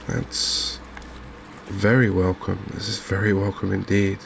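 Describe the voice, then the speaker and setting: A man hosts a game in a soft ASMR style. His voice is low and very monotone, with little rise or fall. He talks haltingly and with gentle understatement, over noticeable background noise. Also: creaky. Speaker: gaming channel host. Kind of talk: softly spoken ASMR game commentary.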